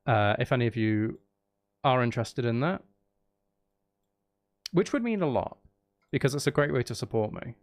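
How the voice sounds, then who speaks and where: A man's voice: mid-range voice, expressive, moderate pace, long pauses. A man hosting a live game stream, chatting casually and playfully to his viewers and to himself. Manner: playful, talks to chat and to himself. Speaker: streamer and channel host.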